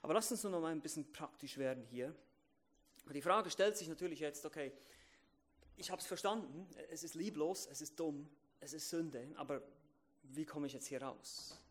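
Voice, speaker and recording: A man delivering an emphatic sermon in a fairly high voice. He repeats key phrases and asks his listeners questions. He swings between loud, stressed lines and quiet asides. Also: moderate pace. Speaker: preacher.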